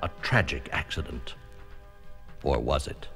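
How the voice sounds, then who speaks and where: A man's voice: rumbling low, a little slow, gravelly. A man narrating a children's read-along story in a dramatic, scripted storytelling style. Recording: music under the voice.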